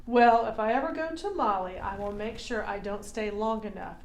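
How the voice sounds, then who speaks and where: A woman's voice: mid-range voice, moderate pace, slight background noise. Teacherly woman in an echoey room. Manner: teacherly, addressing children. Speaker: children's puppet show host. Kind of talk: scripted teaching skit for children.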